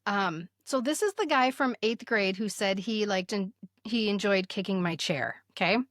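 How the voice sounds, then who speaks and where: A woman's voice: medium pitch, normal speed, a bit bright, dry recording, studio quality. A woman in her fifties speaking as a podcast host, telling stories candidly in casual chat and sometimes reading aloud. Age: 50s. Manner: candid, chatty storytelling.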